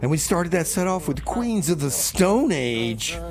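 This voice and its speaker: A man's voice: on the high side, very animated, measured pace, crowd noise. A man hosting a community radio show, with casual banter and song announcements in a DJ's style.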